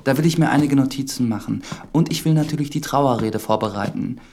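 A man's voice: medium pitch, fairly quick, darkish tone, a little hiss or hum, natural voice. An actor playing an earnest, dutiful priest in a German radio drama, formal, pious and probing in his questions. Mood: polite, probing.

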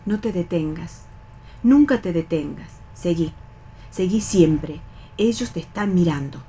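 A middle-aged Argentine actress playing a bossy, resigned, wary factory worker of nearly sixty in a radio theatre reading, instructing and admonishing colloquially. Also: female voice, fairly low pitch, animated, measured pace, dark tone, husky, exaggerated voice. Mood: wary, commanding.

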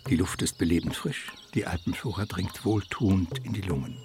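A man narrating a comic adventure radio play as a wry, literary storyteller with measured delivery. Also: low voice, over music, lightly acted. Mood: descriptive.

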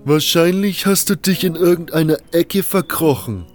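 An actor playing a bullying camp guard with a taunting, menacing delivery. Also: male voice, fairly high pitch, moderate pace, soft background music, natural voice. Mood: mocking. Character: sneering, bullying male camp guard thug.

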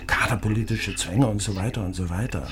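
An actor playing an officious, evasive East German factory comrade in a radio crime drama, brisk, pedantic and full of officialese. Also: male voice, on the low side, music in the background, close to an everyday voice. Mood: evasive, smug.

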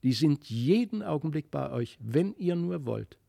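A man voicing a mysterious fairy child, gentle and inviting, in a storybook reading. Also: fairly high pitch, lively intonation, measured pace, creaky, no room sound, studio quality, exaggerated voice.

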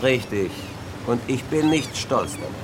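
An actor playing a disillusioned, sardonic military officer in a horror radio drama, speaking bluntly. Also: male voice, mid-range voice, lively intonation, unhurried, background noise, natural voice. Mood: grim. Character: bitter, disillusioned male military officer, survivor of undead outbreak. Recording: loud music bed behind the voice.

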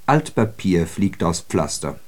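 An actor playing a sardonic, disillusioned socialist who observes city life in a radio drama, with ironic, declamatory and emphatic phrasing. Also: male voice, sits low, big pitch swings, takes it slowly, darkish tone, full character voice. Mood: sardonic, observant. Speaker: radio play speaker. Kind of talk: literary German radio drama monologue.

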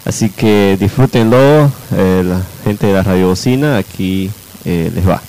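A man's voice: sits low, unhurried, a little mellow, low-quality recording. A man hosting an informal radio talk show, often adding checking tag questions.

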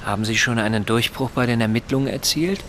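A mid-pitched, self-important neighbour in a radio play acted by a man, earnest and keen to be involved. He probes insinuatingly, over clear vehicle and outdoor noise. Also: fast, close to an everyday voice. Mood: eager, probing. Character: eager, self-important male neighbour, a private English tutor. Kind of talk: radio drama narration and dialogue.

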